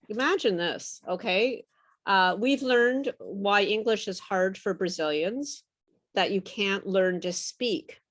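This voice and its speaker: Slow, patient woman teaching pronunciation. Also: medium pitch. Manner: patient, encouraging, explains with repeated example words. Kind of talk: online group pronunciation lesson.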